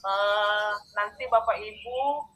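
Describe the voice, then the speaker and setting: Female online training facilitator, fifties, from North Sumatra, mid-pitched, friendly and addressing the group, video-call sound. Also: slow pace, sharp tone, poor audio. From North Sumatra, Indonesia. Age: 50s. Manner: addresses listeners often, checking in.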